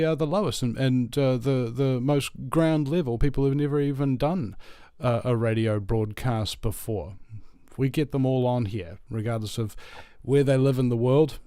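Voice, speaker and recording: A man's voice: mid-range voice, darkish tone, dry recording. Solo talk host: man from New Zealand, mid-range voice, rambling jokey patter, dry studio sound. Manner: rambling, jokey, many fillers. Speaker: radio host. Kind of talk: solo talk radio monologue.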